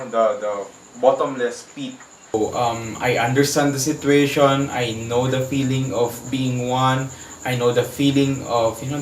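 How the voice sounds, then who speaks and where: A man with a Filipino accent speaking as an interview guest, earnestly and haltingly, searching for his words as he gives personal testimony. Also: mid-range voice. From Philippines. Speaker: HIV advocate, interview guest. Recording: echoey room, distant mic, faint background noise.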